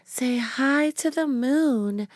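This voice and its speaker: Woman voicing an eager, high-pitched little storybook girl. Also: drawn-out words, no room sound, studio quality. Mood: hushed. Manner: bright, impulsive exclamations and questions.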